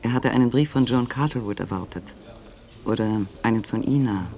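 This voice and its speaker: A man's voice: medium pitch, brisk, darkish tone, lightly acted. A man reading scripted narration for a radio play, on a recording with faint noise.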